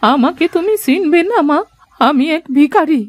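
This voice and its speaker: A woman voicing a gentle, motherly old beggar woman in a moral-story cartoon, speaking in a folksy, coaxing way. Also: high-pitched, expressive, normal speed, no room sound. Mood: meek, plaintive. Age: older. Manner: folksy, coaxing, addresses others as her children.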